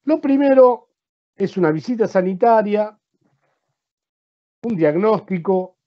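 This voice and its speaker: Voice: medium pitch, lively intonation, slow, lo-fi sound. A speaker with an Argentine accent, a veterinarian giving a practical seminar presentation who explains step by step and asks the audience rhetorical questions.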